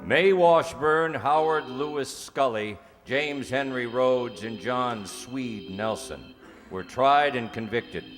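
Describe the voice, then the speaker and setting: A man playing a solemn 1950s police-drama radio announcer with formal, deliberate delivery. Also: mid-range voice, over music, close to an everyday voice. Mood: solemn, official. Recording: reverberant hall, noisy live audience recording.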